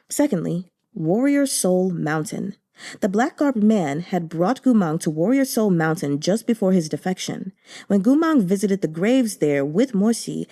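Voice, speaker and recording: A woman narrating a translated novel in a measured, deliberate storytelling manner. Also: mid-range voice, mellow. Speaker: audiobook narrator.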